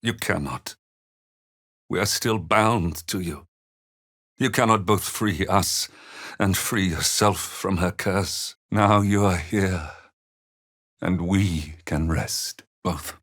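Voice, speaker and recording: An older man voicing a weary, gentle, devout old father in a video game, with halting, tender and solemn delivery. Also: sits low, heavily acted. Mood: anguished, fearful. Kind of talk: dramatic video game character dialogue.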